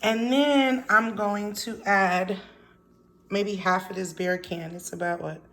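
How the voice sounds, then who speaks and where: A woman's voice: mid-range voice, steady tone, slow, gravelly, fairly bright tone, rough recording. A woman hosting a casual home cooking video, thinking aloud while she cooks one-handed in her kitchen. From Haitian family background. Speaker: home cook showing a recipe. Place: home kitchen with running tap. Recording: background noise.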